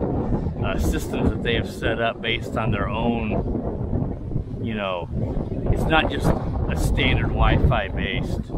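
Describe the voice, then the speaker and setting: A man giving casual, rambling commentary while flying a drone outdoors, with a furry windscreen on his mic. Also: mid-range voice. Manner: chatty, rambling, many fillers and restarts. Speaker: drone reviewer. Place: outdoors in a windy field. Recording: loud wind noise in mic.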